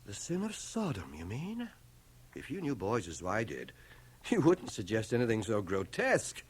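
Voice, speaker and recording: Dry, ironic middle-aged schoolmaster, animated pitch. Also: male voice, slightly rough, exaggerated voice. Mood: mock-innocent. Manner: pedantic, sardonic, measured. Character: dry, ironic schoolmaster of nearly fifty, stubborn and proud. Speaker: actor, narrator and lead. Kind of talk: 1980 radio drama acting. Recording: home cassette transfer of radio broadcast.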